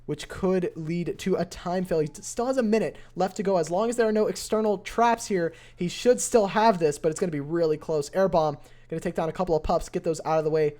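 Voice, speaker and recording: Young man, game caster, hoarse voice, excitable play-by-play, live stream. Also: high voice, a little fast, hardly any pauses. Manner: excitable play-by-play, rising to near shouts at close finishes. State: voice going hoarse.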